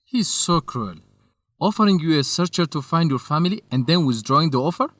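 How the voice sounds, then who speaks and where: An earnest young man voiced by an actor in a radio drama, loyal and somewhat shy. His voice sits a little high with very expressive movement, in a dry studio. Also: a little fast, dry recording, lightly acted. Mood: indignant. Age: young adult. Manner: earnest, hesitant. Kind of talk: educational radio drama acting.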